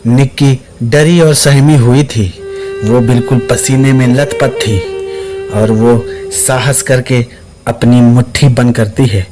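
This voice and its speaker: A man's voice: mid-range voice, fairly even intonation, slow pace. A man narrating a scripted suspense story as the storyteller. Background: background music.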